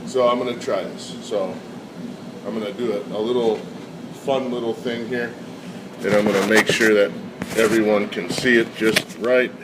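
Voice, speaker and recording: A somewhat low-voiced man with a cold talks through a science demonstration, pausing on fillers as he explains. He sounds set back from the microphone in an echoey room. Loud air conditioning hums steadily behind him. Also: steady tone, distant mic, very noisy. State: has a cold. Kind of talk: casual classroom demonstration.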